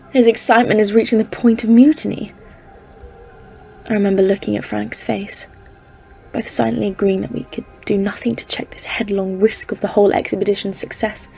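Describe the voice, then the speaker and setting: A woman playing a cautious, stern geologist leading an expedition in an audio drama, speaking in a formal, measured, literary way. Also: fairly high pitch, creaky, a little mellow, breathy edge. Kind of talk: dramatised narration in an audio play.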